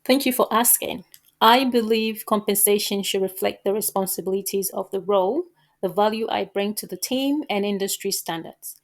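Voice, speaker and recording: A woman answering as a job candidate, with formal, measured delivery of rehearsed interview answers. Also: medium pitch.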